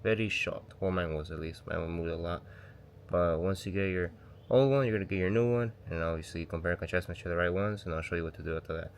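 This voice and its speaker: A man's voice: sits low, fairly even intonation, fast talker, a little hiss or hum. A man hosting his own car-repair channel in a home garage. He is sick and losing his voice, and he talks in a casual, rambling way, correcting himself as he walks through a how-to. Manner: rambling, self-correcting, slangy. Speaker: DIY car channel host.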